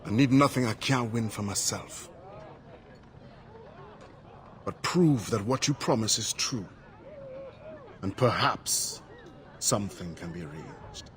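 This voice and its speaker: An actor playing a bold, principled former slave turned pirate captain and assassin in a video game, speaking tersely and formally. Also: male voice, lowish voice, unhurried, lightly acted. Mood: guarded, defiant. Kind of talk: dramatic video game acting.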